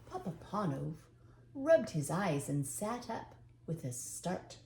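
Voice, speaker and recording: An older woman reads as a storyteller with expressive storybook narration. Her voice is warm, mellow and slightly low, recorded in a roomy, echoey space. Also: slow, creaky, a little hiss or hum.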